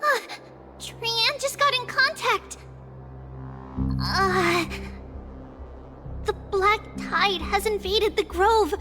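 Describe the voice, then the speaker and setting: A woman's voice: very high-pitched, drawn-out words, pauses often, sharp tone, in a large hall, faint music behind, heavily acted. A woman voicing a tiny, sweet, earnest little girl in a video game, with a childish, wide-eyed, hesitant delivery. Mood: anxious, hesitant. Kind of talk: cute video game character acting.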